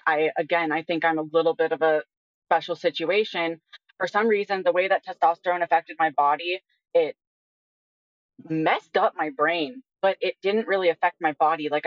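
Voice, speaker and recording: A woman's voice: fairly low pitch, dry recording, lo-fi sound. A woman in her twenties talking casually and candidly as an interview guest, often using "like" as a filler. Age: 20s. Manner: candid, informal, frequent "like" as filler. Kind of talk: casual personal conversation.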